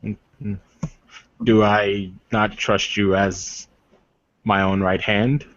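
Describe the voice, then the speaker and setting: A proud werewolf war leader, acted out by a man at a tabletop game, speaks low and very slowly. He is solemn, earnest and commanding, on a dull recording. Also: little pitch movement, no room sound. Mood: solemn, resolute. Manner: solemn, deliberate, formal phrasing.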